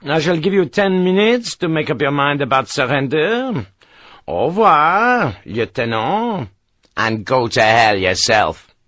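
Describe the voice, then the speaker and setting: Sly French colonel, slow and mocking, played by a male narrator. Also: on the high side, very animated, fairly bright tone, no room sound, studio quality, pitched above the performer's own voice. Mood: smug. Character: smug, mocking French cavalry colonel, man.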